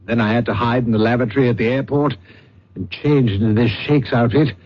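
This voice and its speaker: A man's voice: mid-range voice, fairly even intonation, a little fast, lightly acted. Man as a theatrical, self-important radio detective. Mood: grumbling. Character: vain, impatient psychic detective and master of disguise. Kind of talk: old-time radio drama acting with narration. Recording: muffled, hissy old radio recording.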